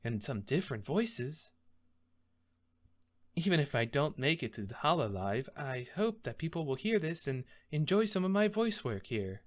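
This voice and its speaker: A man's voice: fairly high pitch, sing-song, moderate pace. A man talking casually to viewers as a voice acting auditionee.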